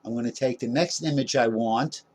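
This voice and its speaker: A man casually narrating a screen-recorded software tutorial, rambling with many fillers and small jokes about himself. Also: mid-range voice, moderate pace. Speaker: tutorial host.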